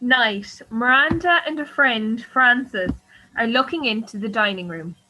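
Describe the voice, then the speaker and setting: A woman's voice: fairly high pitch, measured pace, pushed and bright, slight background noise, poor audio. A woman reading scripted stage directions as the narrator of a radio play.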